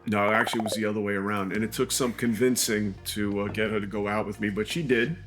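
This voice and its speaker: An older man with a thick New York City accent and a lowish voice answers viewer questions with dry, deadpan jokes. Soft music plays faintly underneath his casual banter. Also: fast talker. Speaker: co-host, father of the other host.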